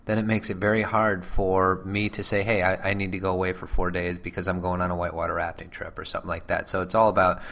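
Podcast guy in his 30s, real low voice, earnest, talking it through. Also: level tone, fast talker, creaky, hardly any pauses, a bit bright, a little hiss or hum. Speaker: podcast co-host. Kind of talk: casual conversation.

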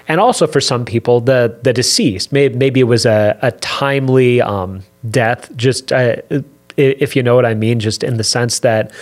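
A man in his forties talks through his solo podcast in an earnest, thoughtful way. His voice is mid-pitched and a little raspy from a cold, and he often doubles back to restart a phrase. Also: darkish tone. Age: 40s. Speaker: podcast host.

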